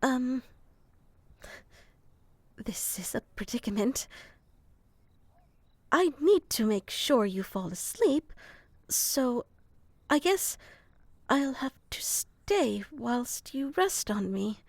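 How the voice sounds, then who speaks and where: A gentle, slightly scatterbrained moth girl, acted by a woman murmurs in a raised, light voice at an unhurried, drowsy pace. She talks softly and slips into whispers now and then. The close microphone keeps the sound dry. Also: fairly high pitch, big pitch swings, no room sound, exaggerated voice. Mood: whispered, tender. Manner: soft-spoken, slow and musing, slipping into whispers. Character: gentle, slightly scatterbrained female moth creature soothing a human to sleep. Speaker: ASMR performer. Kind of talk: ASMR sleep roleplay.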